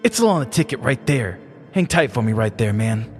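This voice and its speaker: A woman's voice: rumbling low, very animated, brisk, a little mellow, big-room sound, soft background music, pitched below the performer's own voice. A woman voicing a bossy, self-important small-town male police deputy with a stern, officious manner in a comedic true-crime skit.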